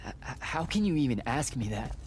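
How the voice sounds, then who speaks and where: An actor playing a brash, emotional young male battlemage in a video game, speaking informally and haltingly. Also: medium pitch, very animated, a little hiss or hum, lightly acted. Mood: hurt, agitated. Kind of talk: video game cutscene acting.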